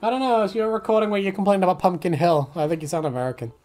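A woman's voice: on the low side, rapid-fire, mellow, soft background music. A young woman chatting on a stream in a laid-back, grumbling way, swearing now and then. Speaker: streamer and artist. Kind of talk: casual stream chat.

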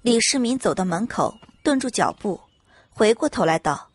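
A woman's voice: mid-range voice, big pitch swings, moderate pace, husky. A woman reading scripted audiobook narration as the storyteller. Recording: music under the narration.

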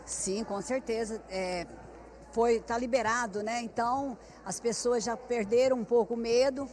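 A woman in her sixties with a Brazilian accent, interviewed at her craft fair on a reporter's handheld mic, warm and emotional. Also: a little high, steady tone, measured pace, slight background noise. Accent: Brazilian Portuguese. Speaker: craft teacher, fair organizer. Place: large indoor craft fair hall.

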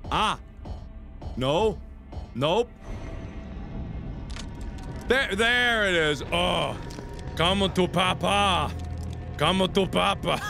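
A man hosting a let's play, commenting on gameplay with sudden loud outbursts and mumbled asides. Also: on the high side. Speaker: gaming video host. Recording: game music behind the voice. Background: game music.

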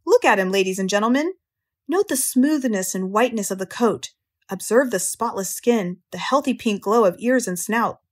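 A woman's voice: medium pitch, expressive, moderate pace, lightly acted. A woman voicing a pompous, grandiose fairground announcer making formal proclamations in a storybook. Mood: pompous, proud. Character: pompous fairground announcer booming over a loudspeaker.

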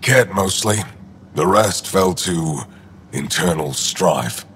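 An actor playing a gruff, war-hardened alien resistance leader in a video game, terse, dry and cynical with a gravelly voice. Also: male voice, sits low, animated, slow, a bit bright, a little hiss or hum, full character voice. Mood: grim, resolute. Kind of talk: video game dialogue acting.

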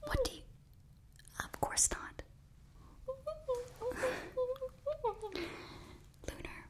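A young woman playing an earnest, flustered witch librarian in a whispered, breathy ASMR roleplay, speaking haltingly close to the microphone. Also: close to an everyday voice. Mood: exasperated. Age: young adult. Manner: hushed, halting, fussy. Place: small indoor set, close to microphone.

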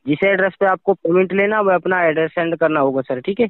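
A man's voice: high-pitched, fast talker. A man working as a company phone agent on a sales call, speaking insistently and repeating himself over a telephone. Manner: insistent, repetitive. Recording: tinny, narrowband phone line recording.

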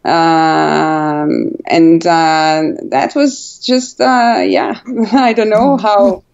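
A woman's voice: lowish voice, fairly even intonation, drawn-out words, creaky, a little mellow, lo-fi sound. A woman in her forties from Portugal, a guest telling her story in a casual interview, hesitant with many fillers and restarts. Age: 40s. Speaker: guest, brand founder.

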